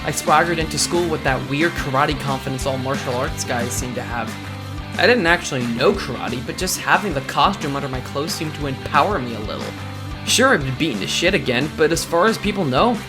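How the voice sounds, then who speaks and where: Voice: medium pitch, lightly acted. A performer playing a sarcastic, insecure teenage boy who narrates his would-be superhero life with wry self-mockery in a comic dub. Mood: wry, smug. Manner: wry, self-mocking, expressive. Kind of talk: first-person narration and comic dub acting. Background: music.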